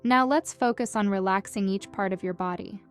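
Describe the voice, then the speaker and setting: Woman, meditation guide, gentle soothing delivery, scripted narration, medium pitch, music in the background. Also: smooth. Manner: gentle, calm, measured instructions with soothing delivery.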